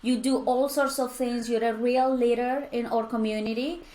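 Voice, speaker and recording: Woman podcast host, bright higher voice, effusive and quick to praise. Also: fairly even intonation. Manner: effusive, gushing, laughs often. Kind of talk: conversational interview.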